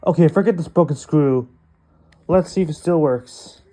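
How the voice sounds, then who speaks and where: A male vlogger unboxing a toy, rambling and excitable, talking to himself as he goes. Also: a little high, moderate pace. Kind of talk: casual unboxing commentary.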